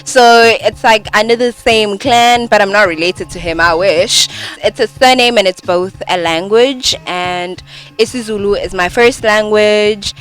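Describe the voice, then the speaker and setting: A woman's voice: mid-range voice, unhurried, a bit bright, clean tone. A young South African woman whose first language is isiZulu, chatting casually and often saying "like". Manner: chatty, lots of "like" fillers, laughs often. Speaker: co-host teaching Zulu. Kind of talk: casual chat. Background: hip hop music.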